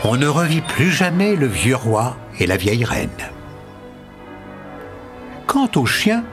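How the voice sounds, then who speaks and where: A man's voice: medium pitch, big pitch swings, takes it slowly, over music. A man narrating a cartoon fairy tale in storybook style.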